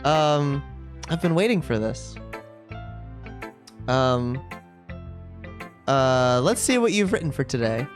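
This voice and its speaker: A game streamer talks casually over music, reading text aloud. The pitch moves a lot, and the delivery is expressive and halting.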